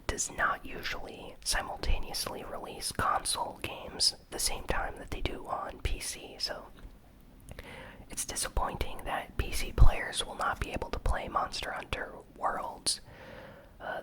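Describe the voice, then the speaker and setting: A host whispering an ASMR news recap in a breathy, unvoiced voice, with casual, informal commentary and unhurried, hesitant phrasing. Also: pushed and bright. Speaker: host of a gaming news channel.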